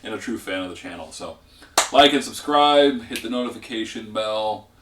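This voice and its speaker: Congested guy rambling thru a card deck, low voice, roomy echo, mic kinda far off. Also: moderate pace, noisy background. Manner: rambling, relaxed explaining with many fillers. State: congested, voice giving out. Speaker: gaming channel host. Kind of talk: casual card game deck walkthrough.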